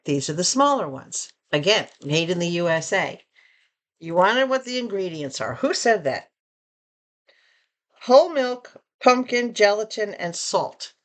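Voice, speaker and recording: A woman vlogger chatting casually through an unboxing, reading labels aloud and asking viewers questions, a bit hoarse from losing her voice. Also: mid-range voice, big pitch swings, measured pace. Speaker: vlogger reviewing purchases.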